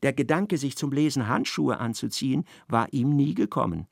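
Casting: male narrator, gravelly, lively melody, dry studio. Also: mid-range voice, no echo. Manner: measured, expressive storytelling. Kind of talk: literary radio narration.

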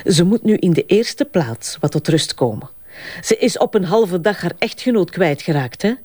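A woman playing a formal, firm deputy prosecutor in a Flemish radio drama, speaking in a measured, curt way. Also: fairly low pitch, animated, dark tone, dry recording, studio quality. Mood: firm, reproachful.

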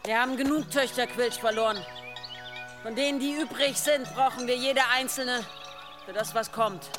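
Actress as high-voiced, resolute village leader. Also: female voice, normal speed, music in the background, lightly acted. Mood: resolute, grave. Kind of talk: radio drama acting.

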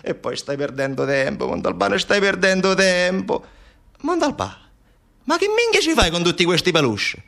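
A man's voice: high-pitched, big pitch swings, normal speed, vocal fry, a bit bright, voice pushed up, full character voice. A man playing a brash, cynical policeman colleague who needles and hectors in colloquial, repetitive speech in a one-man stage monologue. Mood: scornful, insistent. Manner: hectoring, wheedling, colloquial, repeating himself.

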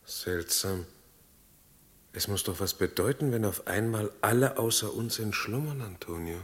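A man's voice: lowish voice, a little slow, lightly acted. An actor playing a cynical, blunt nobleman with a sardonic manner in a German radio play. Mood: wondering, hushed.

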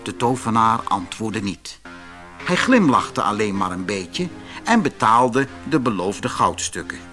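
A man with a Dutch accent from the Netherlands reading a fairy tale aloud in expressive, scripted narration, with a slightly husky voice. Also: lowish voice, measured pace, soft background music. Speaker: audiobook narrator.

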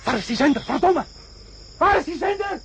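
An actor playing a delirious, obsessed astronaut in a radio drama, raving and repeating himself. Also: male voice, extremely high pitch, expressive, a little fast, creaky, heavily acted. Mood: frantic rage. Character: delirious, frantic male astronaut stranded in jungle, obsessed. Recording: radio drama with loud insect noise. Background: jungle crickets and insects.

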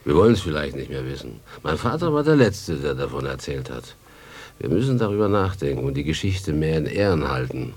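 An actor playing a solemn, earnest village farmer, a grown son pondering aloud in a radio play. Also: male voice, a bass voice, sing-song, fairly quick, darkish tone, breathy edge, full character voice. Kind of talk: German radio play acting.

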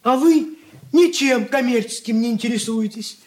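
An actor playing a brash, hard-drinking merchant's clerk, boastful, blunt and needling, in a Soviet radio play. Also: male voice, very high voice, moderate pace. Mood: mocking, scornful.

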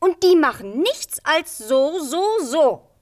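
A woman's voice: high-pitched, lively intonation, slow. A woman playing a naive, chatty, girlish princess in a fairy-tale radio play for children, artless and earnest. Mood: lonely, hushed.

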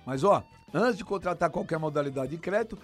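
A man with a high voice reads a scripted radio commercial as an announcer. Music plays underneath him. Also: lively intonation, brisk. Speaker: advertising announcer. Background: music bed.

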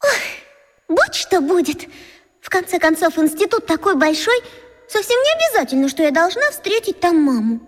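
Young schoolgirl, very high voice, anxious self-talk, impulsive, earnest, radio play, long echoing reverb. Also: big pitch swings, moderate pace. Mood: resolved, nervous. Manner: fretting, self-talking, impulsive. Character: earnest, anxious fifth-grade schoolgirl thinking out loud. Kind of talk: children's radio play acting.

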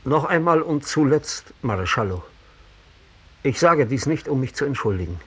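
An actor playing a sensitive, disgraced gentleman in a 1960s German radio play, confessing in measured, literary phrases with a husky voice. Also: mid-range voice, big pitch swings. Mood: resigned, earnest.

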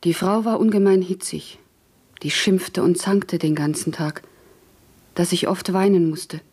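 An actress playing a deferential, anxious country housemaid looking for a position in a German radio play, meek and dutiful. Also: female voice, lowish voice, a little slow, darkish tone, husky. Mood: earnest. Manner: meek, dutiful, short obedient answers.

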